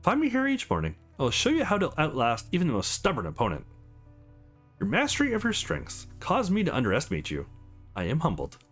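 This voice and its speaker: A man's voice: fairly high pitch, wildly swooping pitch, a little fast, fairly bright tone, faint music behind, exaggerated voice. A man voicing a tough woman sparring partner from a visual novel, mocking and coolly formal, with clipped commands.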